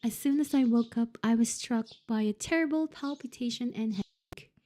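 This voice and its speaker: A woman's voice: fairly high pitch, normal speed, mellow. A young woman streamer reading a visual novel aloud and reacting expressively with laughs and comments.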